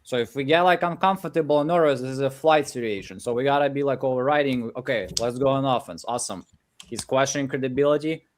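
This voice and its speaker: A man with a Ukrainian accent gives an energetic live coaching pep talk over a video call, peppering his English with fillers. His voice sits at an ordinary middle pitch. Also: fast talker. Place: home office in Ukraine.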